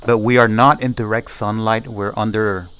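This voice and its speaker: A man's voice: on the low side, steady tone, moderate pace, a bit bright, clear, resonant tone. A man giving a casual, unscripted hands-on demonstration as a product reviewer, narrating each step and often repeating himself.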